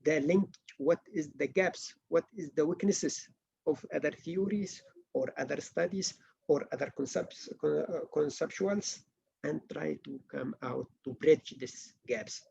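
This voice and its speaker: A middle-aged man from Malaysia, giving an academic writing workshop lecture in earnest, halting English with many restarts. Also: on the high side, gravelly, a little mellow, husky, highs cut off. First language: not English.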